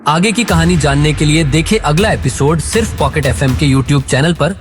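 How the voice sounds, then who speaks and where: Male announcer for series outro: scripted promo read, higher-than-average pitch, prominent music bed. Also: normal speed.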